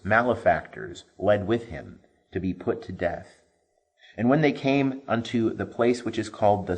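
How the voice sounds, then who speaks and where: A man reading scripture aloud as a scripted narration, solemn and expressive in delivery. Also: sits low, slightly rough. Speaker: scripture reader. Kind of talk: dramatic scripted Bible reading.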